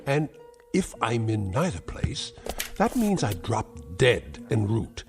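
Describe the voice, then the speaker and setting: An actor playing a cruel, sarcastic elderly uncle who is a scientist, taunting with mocking, theatrical insults. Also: male voice, fairly low pitch, sing-song, slow pace, faint music behind, full character voice. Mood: sneering. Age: older. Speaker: domineering uncle. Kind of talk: 1960s TV drama acting.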